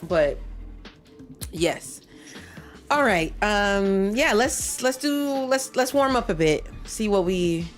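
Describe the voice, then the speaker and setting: A woman with a stuffy nose chatting casually with her viewers while she warms up at a game, rambling and repeating herself. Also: mid-range voice, animated, slow pace, soft background music. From Michigan. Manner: rambling, repeats herself, chats with stream chat. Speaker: livestreamer playing a speedrun practice.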